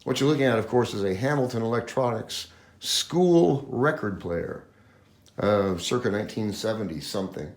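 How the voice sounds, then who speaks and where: A man's voice: on the low side, animated, moderate pace. A man hosting a hobby channel, talking casually to viewers as he presents. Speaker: host of a model train collecting channel. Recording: roomy, echoing home video sound.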